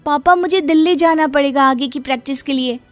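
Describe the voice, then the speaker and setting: A woman's voice: high-pitched, brisk, no echo, soft background music, close to an everyday voice. A woman playing an earnest, meek young village daughter in a moral story. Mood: troubled, pleading. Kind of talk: Hindi moral-story acting.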